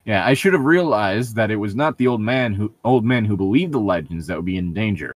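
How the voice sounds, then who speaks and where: Male co-host, mid-pitched and expressive, casual mocking banter, dry sound. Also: fairly quick, no room sound. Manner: sarcastic, mocking, swears freely. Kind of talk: casual comedic group commentary.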